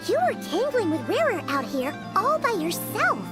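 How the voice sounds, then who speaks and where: A woman's voice: extremely high pitch, big pitch swings, moderate pace. A woman voicing a tiny, childlike fairy companion in a video game, blunt and inquisitive in casual phrasing. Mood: curious. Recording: music behind the voice.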